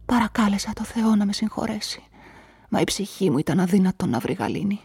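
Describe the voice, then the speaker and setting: An actress narrating as a guilt-ridden, pious spinster in a radio drama, in a hushed, confessional whisper. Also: female voice, medium pitch, animated, vocal fry, breathy edge, full character voice. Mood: anxious. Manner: tense, confessional, anxious. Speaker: first-person narrator of a crime story.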